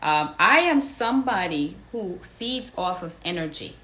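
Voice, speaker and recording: A woman in her fifties with a low, bright voice hosts a live room. She talks in animated swoops and repeats her questions with emphasis. She reads out what listeners write and asks them to reply. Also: measured pace. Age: 50s. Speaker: fitness coach hosting a live audio room. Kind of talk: live audience chat.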